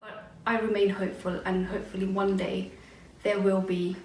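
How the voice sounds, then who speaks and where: A woman in her forties telling her personal story in a plain, steady way. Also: medium pitch, moderate pace, far from the mic, a little hiss or hum. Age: 40s. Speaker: charity supporter. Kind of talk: personal testimony. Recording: echoey room, away from mic, faint background ambience.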